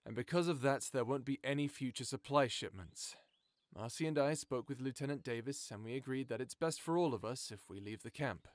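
Man doing a steady camp leader, calm low reassuring voice, mid pitch, dry recording. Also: brisk, no room sound, lightly acted. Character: steady, protective man leading a survivor camp. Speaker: voice actor. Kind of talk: full-cast audiobook acting.

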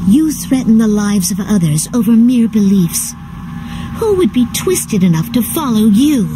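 A woman's voice: on the high side, expressive, unhurried, noisy background. An actress playing an earnest, caring young woman fighter in a video game, speaking with intensity. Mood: shocked, indignant. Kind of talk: video game cutscene acting.

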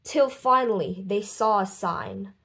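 A woman reading a children's book aloud expressively, with deliberate, dramatic phrasing and lifted voices for dialogue. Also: mid-range voice, a bit bright. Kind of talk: expressive storybook read-aloud.

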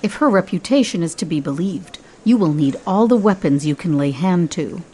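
A woman's voice: lowish voice, expressive, moderate pace, mellow, slightly rough. An actress playing a cold, commanding, ruthless woman in charge in an audio drama, curt and imperious.

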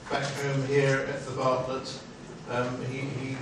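An older man hosting a seminar with an informal, rambling, halting and jokey introduction. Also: medium pitch, monotone. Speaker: seminar host introducing a speaker. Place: small seminar room. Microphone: far camera microphone. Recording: distant mic, echoey noisy room.

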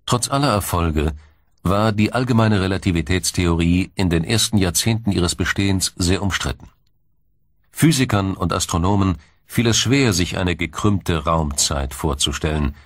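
A man reading scripted science documentary narration in a measured, dramatic storytelling manner. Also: low voice, no room sound, studio quality. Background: music.

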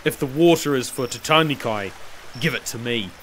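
A man voicing a bold young woman who disguises herself as a man with a deep, confident, commanding tone. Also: moderate pace, a bit bright, a little hiss or hum, natural voice.

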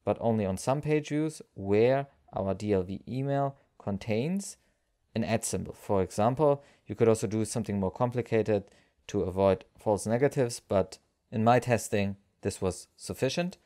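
A man narrating a software tutorial as its presenter, explaining things step by step. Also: fairly low pitch, normal speed.

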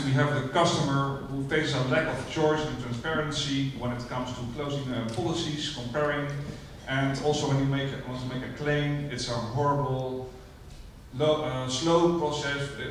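A man's voice: mid-range voice, fairly even intonation, normal speed, slight background noise. Man, Dutch accent, hesitant presenter, distant mic, heavy hall echo. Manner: hesitant, with frequent uhs. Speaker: company representative presenting. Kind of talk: conference presentation. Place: large theater stage. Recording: echoey hall from far off.

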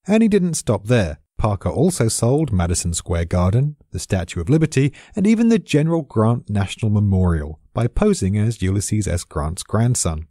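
A man with a British accent narrates in a low, mellow voice that swings expressively in pitch. He sounds like a wry, well-read storyteller explaining from a script. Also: very animated, normal speed, hardly any pauses, no room sound, studio quality. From Britain. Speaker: expert narrator.

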